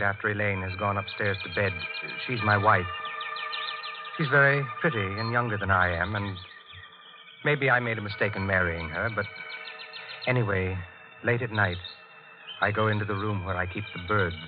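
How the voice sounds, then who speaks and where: An actor playing a mild, undistinguished middle-aged husband in a 1940s radio drama, subdued and hesitant. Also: male voice, fairly low pitch, natural voice. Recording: muffled 1940s radio recording, loud music.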